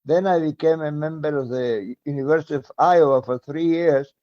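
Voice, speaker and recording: A man of about ninety with an Argentine Spanish accent, speaking as a guest in a relaxed interview, halting with frequent pauses and fillers and chuckling often. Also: on the high side, highs cut off. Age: around 90. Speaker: guest, retired medical professor. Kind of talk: conversational interview.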